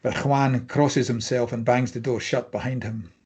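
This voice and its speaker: A man's voice: medium pitch, fairly even intonation, normal speed, a little mellow, slightly rough. A man narrating a screenplay, reading scene headings and stage directions at a table read.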